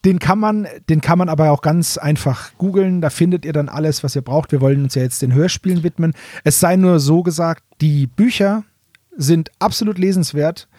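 A man's voice: a little high, normal speed, dark tone, no room sound. A man co-hosting a podcast, explaining casually and repeating words for emphasis. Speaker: podcast co-host.

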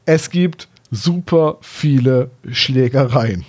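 A man's voice: medium pitch, slow pace, a little mellow, husky. A man in his thirties from Germany chatting casually and enthusiastically as a podcast co-host, with a teasing streak. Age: 30s.

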